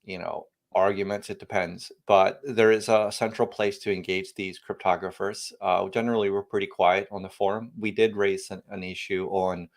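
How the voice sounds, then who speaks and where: Deep-voiced man explaining deep tech. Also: fairly even intonation, moderate pace, few pauses. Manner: rambling, frequent restarts, emphatic bursts. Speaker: guest, tech company founder. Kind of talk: podcast interview, casual technical talk.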